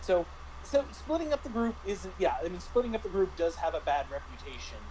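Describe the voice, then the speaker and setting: Halting man talking over background noise. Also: high voice, very animated, darkish tone, poor audio. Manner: halting, thinking aloud. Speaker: tabletop game master and podcast panelist. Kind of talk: casual panel discussion about roleplaying games.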